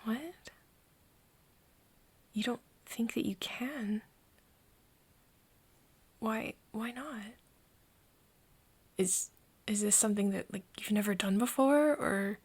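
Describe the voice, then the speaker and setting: A woman playing a frightened but stubborn human confiding in a vampire friend in an intimate ASMR audio drama, with a husky, breathy voice and hesitant delivery. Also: medium pitch. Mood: hopeful, curious. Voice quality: breathy, husky, often dropping to a whisper. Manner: hesitant, halting, stammering over words.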